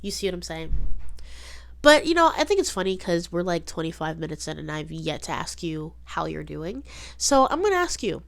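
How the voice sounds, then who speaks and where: A woman's voice: on the low side, big pitch swings, normal speed, vocal fry, fairly bright tone. A young adult woman hosting a podcast solo, chatting casually and emphatically, often repeating words and saying "like". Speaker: podcast host.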